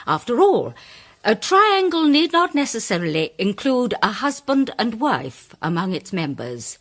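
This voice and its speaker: A woman's voice: on the high side, sing-song, full character voice. An actress playing a self-important woman playwright who presents her case in a dramatised mystery with grandiloquent, declamatory speeches. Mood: pointed, assured. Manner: declamatory, grandiloquent, deliberate emphasis. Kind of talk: dramatised audio mystery, formal speech-making.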